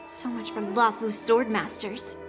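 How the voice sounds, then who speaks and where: A woman playing a cocky young swordswoman who teases and laughs often, in a game cutscene. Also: on the high side. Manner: teasing, laughs often, smug. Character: cocky young female swordswoman, teasing and bold.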